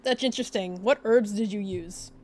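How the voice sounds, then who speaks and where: A woman's voice: mid-range voice, normal speed, darkish tone, natural voice. A woman voicing a trusting young female cat, polite and a little hesitant, in a game roleplay. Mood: friendly, hesitant.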